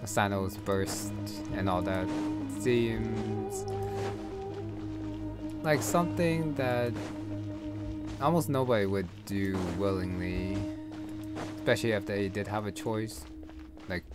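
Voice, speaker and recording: A man's voice: lowish voice, slow pace, pauses often, over loud music. A man giving casual gameplay commentary, rambling as he thinks aloud. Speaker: gaming video host. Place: voice-over with game music behind.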